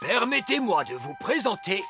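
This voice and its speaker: A pompous old cartoon elf with a high voice that swoops up and down. He is bossy and excitable and lectures emphatically. Music runs behind him. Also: normal speed. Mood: pompous, indignant. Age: older. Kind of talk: French cartoon dubbing.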